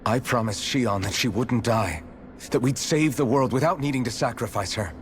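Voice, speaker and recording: A man's voice: medium pitch, moderate pace, slight background noise, natural voice. A man playing a resolute young fantasy hero in a video game, serious and plain-spoken. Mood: determined, protective. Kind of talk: scripted video game cutscene acting.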